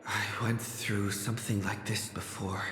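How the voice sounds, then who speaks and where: An actor playing a puzzled but determined young traveler in a video game, musing aloud to himself. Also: male voice, lowish voice, little pitch movement, measured pace, background noise, lightly acted. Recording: echoey reverb with music behind.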